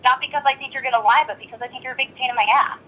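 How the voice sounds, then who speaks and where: A woman's voice: sits high, fairly even intonation, very fast, sharp tone. A blunt, exasperated woman arguing on a recorded telephone call. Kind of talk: tense phone argument.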